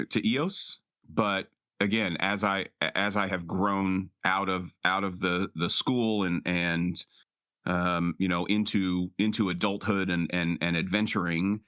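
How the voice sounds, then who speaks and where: A man playing a tabletop role-playing game, explaining his character in a halting, reflective, casual way. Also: low voice, a bit bright. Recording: music playing behind the voice. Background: music.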